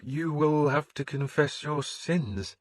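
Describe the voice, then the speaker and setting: A man voicing a fussy, faint-hearted village priest who speaks slowly and hesitantly in a raised, husky voice. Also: on the high side, animated, no room sound, clean studio sound, pitched above the performer's own voice. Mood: wary. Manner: slow, hesitant, lifted and pleading.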